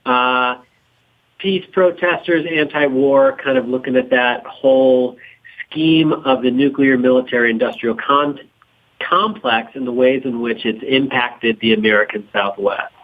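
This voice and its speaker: Over a narrow-band phone line, a man talks as an interview guest. His pitch is average. He explains things conversationally. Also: a little slow. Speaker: interview guest, documentary co-director and professor. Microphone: phone call. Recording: muffled remote phone call.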